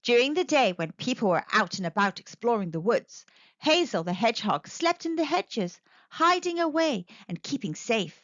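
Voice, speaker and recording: A woman storyteller reading a children's picture book aloud with expressive narration. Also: a little high, moderate pace, no echo, lo-fi sound.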